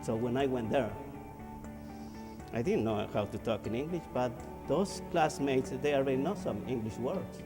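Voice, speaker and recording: A man's voice: medium pitch, lively intonation, normal speed, over music. Emphatic man in a hall. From Ecuador. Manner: emphatic storytelling with repeated phrases. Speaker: motivational speaker. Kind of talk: motivational speech.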